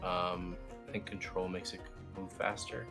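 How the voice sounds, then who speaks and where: Male tutorial instructor, very low register, informal and easygoing delivery, faint music underneath. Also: little pitch movement, unhurried, vocal fry. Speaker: instructor, team lead. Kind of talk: casual software tutorial walkthrough. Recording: music playing behind the voice. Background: background music.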